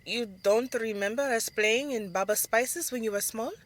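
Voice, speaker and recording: A woman's voice: a little high, moderate pace, pitched above the performer's own voice, natural voice. A woman voicing a protective, serious older sister, a young dancer, in an audio drama story. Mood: reminiscing.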